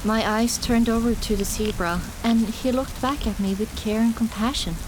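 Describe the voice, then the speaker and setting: A woman narrating a first-person fantasy audiobook in an expressive, dramatic storytelling manner. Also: on the high side, normal speed, background noise.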